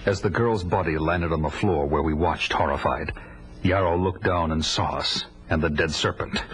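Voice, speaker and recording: A man's voice: extremely low pitch, normal speed, fairly bright tone, poor audio. An actor playing a rugged barbarian hero in an audio drama, telling his adventure in grand, florid, dramatic style. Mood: dramatic storytelling. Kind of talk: audio drama first-person narration.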